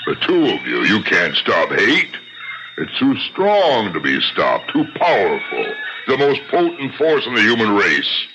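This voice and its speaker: An actor playing a cruel, menacing taskmaster in an old radio drama, commanding and full of contempt. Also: male voice, lowish voice, wildly swooping pitch, unhurried, over music. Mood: contemptuous. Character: cruel menacing male taskmaster lording over captive souls. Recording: dull, noisy old radio recording.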